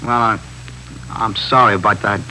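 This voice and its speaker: An American actor playing a slangy, evasive, self-pitying small-time crook in a 1950s radio drama. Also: male voice, lowish voice, steady tone, moderate pace, sharp tone. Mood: sheepish. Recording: faintly noisy 1950s radio recording.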